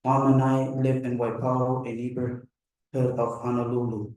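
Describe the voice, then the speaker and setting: A man's voice: mid-range voice, level tone, a little mellow, far from the mic. Teenage boy reading in an echoey hall. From Pohnpei, Micronesia. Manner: measured, careful reading with small restarts. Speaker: student storyteller. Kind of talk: reading a personal story aloud. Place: large room at a live event.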